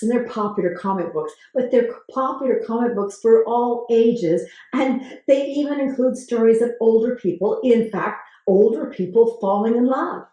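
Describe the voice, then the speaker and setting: Woman host, gravelly voice, mellow tone, very echoey room. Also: fairly high pitch. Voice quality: gravelly voice today. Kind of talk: talking to camera, explaining research.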